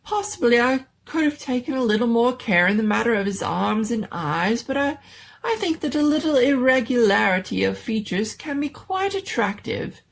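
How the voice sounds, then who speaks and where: A woman voicing an excitable, doting little male mad scientist in a storybook reading, fussy and full of proud exclamations. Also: on the high side, normal speed, creaky, pitched above the performer's own voice. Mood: proud, gushing. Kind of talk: dramatic reading of a horror-comedy story.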